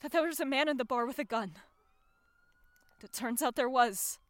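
An actress playing an earnest, loving but firm young adult daughter in an audio drama. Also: female voice, high voice, normal speed, no room sound, close to an everyday voice. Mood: relieved.

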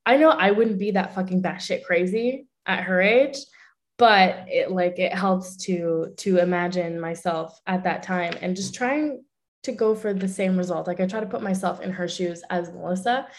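A young woman with a French accent and French as her first language, an actress talking candidly and swearing freely in a casual interview. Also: lowish voice. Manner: candid, swears freely, talks in quick bursts. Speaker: voice actress and social media manager.

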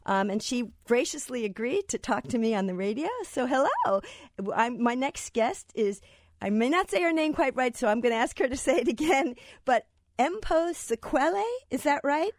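A woman's voice: high-pitched, lively intonation, normal speed, no echo, studio quality. Woman hosting a radio interview. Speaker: radio show host and business professor.

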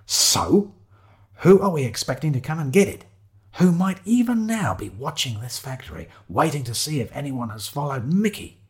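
A man plays a haughty man sleeping rough in an audio story. His pitch is raised and swings widely, and his speech is pompous, formal and commanding, quick to take offence. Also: sing-song. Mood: stern, reasoning.

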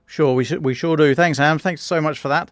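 A man's voice: fairly high pitch, steady tone, fairly quick, no room sound, studio quality. A man hosting a news podcast in a courteous, conversational way. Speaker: podcast host.

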